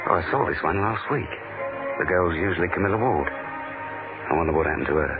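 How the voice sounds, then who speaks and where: Through a tinny old radio recording with music behind comes a low British voice, a man acting: an irreverent, down-to-earth spy. He is wry and deadpan, talking in casual slang as the hero of a radio thriller. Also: a little fast, close to an everyday voice. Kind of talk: 1970s radio drama acting with first-person narration.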